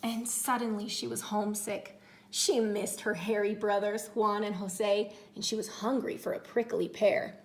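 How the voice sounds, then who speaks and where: A woman reading a children's storybook aloud with expressive, theatrical phrasing and drawn-out words. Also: mid-range voice. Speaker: storybook reader.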